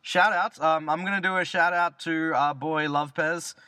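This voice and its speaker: Man, Australian accent, 30s, podcast co-host, casual rambling chat, bright tone, dry room. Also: on the high side, steady tone, moderate pace, clear, resonant tone, dry recording. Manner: rambling, restarts, jokey.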